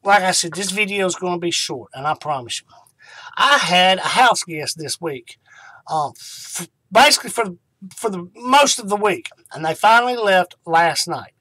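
A middle-aged man speaks as a ministry host in a somewhat high male voice. The sound is dry, with little room around it. He talks informally and devotionally, taking his time and pausing for emphasis. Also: animated, pushed and bright, no room sound, low-quality recording. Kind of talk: informal devotional talk to camera.

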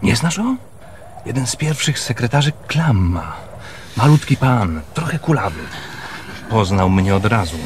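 An actor playing an earnest, eager messenger in a radio drama, giving a careful, detailed report. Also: male voice, medium pitch, big pitch swings, measured pace, a little hiss or hum. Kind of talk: Polish radio theatre acting.

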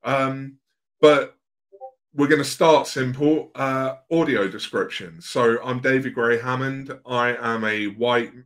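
A man's voice: medium pitch, takes it slowly, fairly bright tone. A man in his mid-thirties hosting a podcast, introducing a guest and asking questions in a friendly, slightly hesitant way with ums and uhs. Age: 30s. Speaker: podcast host. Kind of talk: casual podcast interview.

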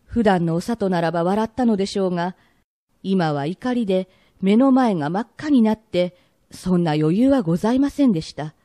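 A woman tells a period tale as its narrator. Her voice is mellow and mid-range, her phrasing polite, and the sound is very dry. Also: mid-range voice, animated, a little fast, no echo.

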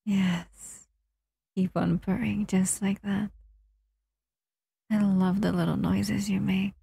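A woman voicing a doting, seductive female shadow monster in an intimate ASMR roleplay, breathy and soothing, often whispering. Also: medium pitch, level tone, takes it slowly, dark tone, no room sound, clean studio sound, exaggerated voice. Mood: tender, lulling.

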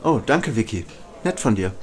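A man playing a smooth, courteous, flirtatious newspaper reporter in an amateur German audio drama. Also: on the low side, big pitch swings, normal speed, a little mellow. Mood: friendly. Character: suave, flirtatious male newspaper reporter who narrates his story. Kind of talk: German amateur audio drama, dialogue and first-person narration. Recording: clearly noisy home recording.

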